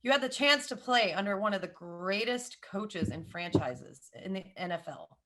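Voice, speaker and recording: A Southern American woman in her thirties talking as a guest on a podcast. Her voice is bright and forward. She delivers strong opinions in an animated way, piles on asides and repeats herself for emphasis. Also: mid-range voice, normal speed, low-quality recording. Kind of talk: casual podcast chat.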